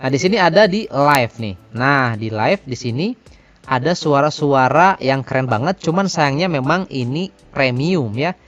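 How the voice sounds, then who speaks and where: A man's voice: medium pitch, lively intonation, normal speed, fairly bright tone, lo-fi sound. A man hosting a casual product demo and review. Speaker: reviewer and tutorial host. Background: faint music.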